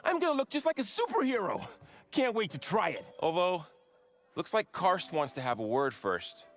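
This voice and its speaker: A man playing an eager, goofy young sidekick in an animated video game, boyish and full of exclamations. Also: high-pitched, moderate pace. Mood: excited.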